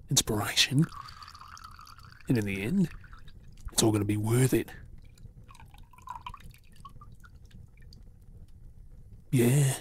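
An Australian man playing a kind, reassuring rescuer in an intimate ASMR role-play, speaking softly and soothingly. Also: medium pitch, a little hiss or hum, close to an everyday voice. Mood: encouraging. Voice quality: soft, breathy. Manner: gentle, soothing, with pauses. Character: kind, reassuring male rescuer tending an injured woman.

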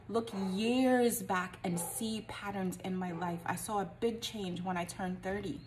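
A woman's voice: mid-range voice, darkish tone, slight background noise. Earnest woman in her forties coaching. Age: 40s. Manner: earnest, emphatic, asks rhetorical questions. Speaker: life coach and vlogger. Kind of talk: encouraging motivational talk to camera. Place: small home office indoors.

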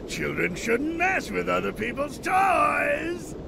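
A man voicing a gloating, middle-aged mad scientist villain in a video game, booming and theatrical with a gravelly voice. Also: very animated, extremely slow, noisy background, exaggerated voice. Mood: gloating, menacing. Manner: theatrical, cackling, barking orders. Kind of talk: video game cutscene acting. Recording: loud music behind.

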